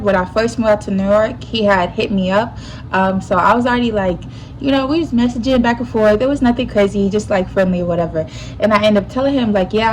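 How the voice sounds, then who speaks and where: A young woman with a slightly stuffy nose, telling a personal story in a casual, animated way full of slang. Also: medium pitch, slight background noise, low-quality recording. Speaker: YouTuber.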